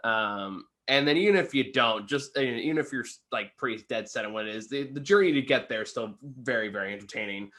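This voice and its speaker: A man's voice: medium pitch, brisk, few pauses, a bit bright. A tired-sounding man giving a casual, unscripted film review in informal, sweary language. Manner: profane, informal.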